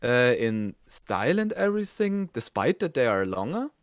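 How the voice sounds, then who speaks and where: A man's voice: medium pitch, sing-song, moderate pace, clear, resonant tone, no echo, studio quality. A man co-hosting a review show, giving his opinions in casual conversation.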